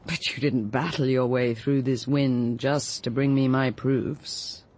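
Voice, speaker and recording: A woman's voice: extremely low pitch, steady tone, takes it slowly, a little mellow, full character voice. An actress playing a composed, guarded British woman in a radio drama, with a cool, clipped, deflecting manner. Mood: brisk, welcoming. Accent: British English. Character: composed, guarded woman cookery writer under suspicion.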